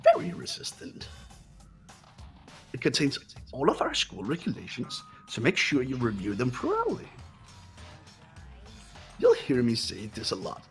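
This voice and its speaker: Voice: mid-range voice, wildly swooping pitch, unhurried, long pauses, soft background music. A performer voicing a gleefully sadistic robot teddy-bear headmaster in a fan game dub, theatrical and mock-polite. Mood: chirpy salesmanship. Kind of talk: fan voice acting of a video game.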